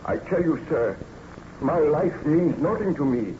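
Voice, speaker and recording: A man's voice: a little high, expressive, unhurried. A man playing a tormented, guilt-ridden scientist nobleman in formal, theatrical old-time radio drama style. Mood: anguished, indignant. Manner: grave, melodramatic, formal theatrical diction. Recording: dull, noisy old radio recording.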